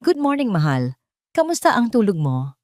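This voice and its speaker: A woman's voice: medium pitch, huge pitch swings, normal speed, a little mellow, no echo, clean studio sound, voice pushed up. Controlling male fiancé read by a female narrator, sweet-talking with a commanding weight, audio drama. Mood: tender. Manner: tender yet commanding.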